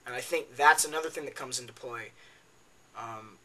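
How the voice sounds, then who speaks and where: A young man talks through fight analysis in a loose, unscripted way, often pausing on um and uh. His voice is raspy and worn, and he sounds tired. Its tone stays bright and forward even so. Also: mid-range voice, rough recording. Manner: talks off the cuff with many ums and uhs. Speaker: MMA video blogger. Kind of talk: casual spoken fight analysis.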